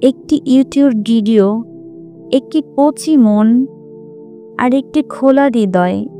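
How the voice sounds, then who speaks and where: Slow, high-voiced woman narrating. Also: warm tone, soft background music. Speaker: narrator of religious stories. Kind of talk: scripted inspirational storytelling narration.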